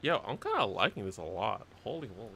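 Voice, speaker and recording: Low-pitched male streamer, noisy background. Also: huge pitch swings, vocal fry, pushed and bright. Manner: informal, halting, thinking aloud. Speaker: gaming streamer. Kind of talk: casual live play reaction commentary. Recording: noisy stream with game sound. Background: game ambience.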